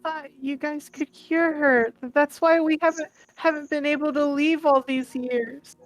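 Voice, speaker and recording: A woman's voice: sits high, steady tone, a little slow, soft background music, voice pushed up, low-quality recording. A woman voicing a worried, earnest teenage boy in a tabletop game, hesitant and pleading. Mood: anxious.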